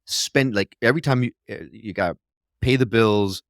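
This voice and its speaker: A man's voice: on the low side, moderate pace, a bit bright, no room sound, studio quality. A man co-hosting a podcast who talks eagerly and effusively, sometimes stumbling over his words. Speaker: podcast co-host. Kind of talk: podcast interview.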